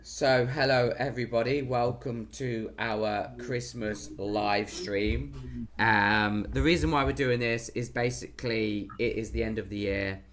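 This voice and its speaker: A British man hosts a live stream in a casual, chatty way. His voice is hoarse, at a middle pitch, with some noise behind it. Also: steady tone, unhurried, a bit bright. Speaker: live stream host and trader.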